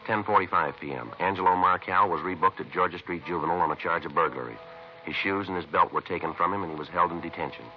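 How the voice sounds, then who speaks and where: An actor playing a terse, methodical American police detective, narrating casework in a clipped, matter-of-fact 1950s radio crime drama style. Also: male voice, sits low, fast, close to an everyday voice. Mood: clipped, deadpan. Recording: muffled vintage radio recording, faint music.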